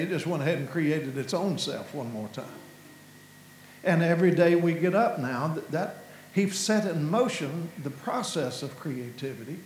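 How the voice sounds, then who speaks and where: A man with a Southern American accent preaching a sermon in a folksy, rambling way, restarting phrases and filling pauses. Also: fairly high pitch, darkish tone, slight background noise. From Southern United States. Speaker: guest preacher. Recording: echoey hall with faint ambience.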